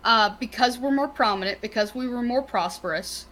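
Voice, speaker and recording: A woman's voice: on the high side, normal speed, a bit bright. A woman co-hosting a casual podcast, speaking bluntly and swearing a lot.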